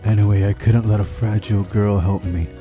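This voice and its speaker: A man's voice: on the low side, steady tone, fairly quick. A man hosting a visual novel playthrough, reading the story aloud with casual, playful asides over game music. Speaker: video game playthrough host.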